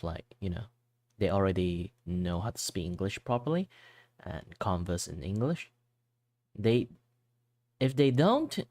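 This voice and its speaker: Low-voiced young Malaysian streamer. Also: male voice, darkish tone, no room sound. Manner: rambling and chatty, with many fillers and restarts; switches at will into Malaysian English. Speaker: art streamer. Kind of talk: casual chat while drawing on stream.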